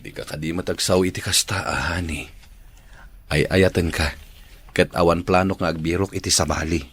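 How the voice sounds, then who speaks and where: A man's voice: low-pitched, fairly even intonation, normal speed, fairly bright tone, slightly rough. A man with an Ilocano accent acting a patient, devoted bachelor uncle of forty in a radio drama. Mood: tender, reassuring.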